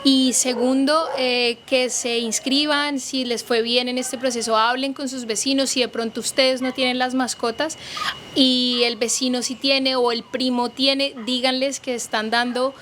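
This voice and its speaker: A woman's voice: sits high, flat delivery, unhurried, fairly bright tone. A woman veterinarian with a Colombian accent, earnestly urging listeners in a news interview. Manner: earnest, persuasive, addresses listeners directly. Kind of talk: news interview, public appeal. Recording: background noise from the surroundings.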